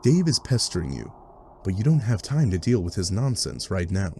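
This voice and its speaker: A man's voice: low voice, very animated, moderate pace, background noise. A man reading scripted second-person webcomic narration with wry, dry asides. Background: music.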